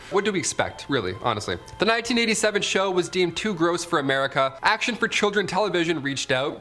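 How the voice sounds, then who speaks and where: A man's voice: fairly high pitch, bright, forceful tone. A man hosting a top ten list, mixing scripted countdown narration with casual, joking, sarcastic asides. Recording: music bed behind the voice. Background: background music.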